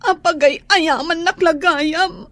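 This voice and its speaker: Lady doing a crying young maid, super high voice, slow and broken up. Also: a bit bright, no echo, full character voice. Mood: heartbroken. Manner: slow, tearful. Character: heartbroken young maid, tearful. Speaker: Angie.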